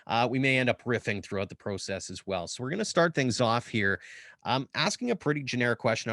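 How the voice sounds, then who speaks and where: A man's voice: mid-range voice, fairly bright tone, dry recording. Casual male moderator, dry close sound. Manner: casual, guiding. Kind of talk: panel discussion on video call. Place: home office.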